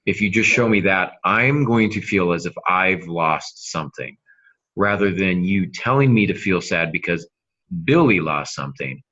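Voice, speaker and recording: Deep-voiced man with a forceful edge. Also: expressive, moderate pace, dull audio. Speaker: podcast co-host, novelist. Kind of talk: conversational discussion of fiction craft.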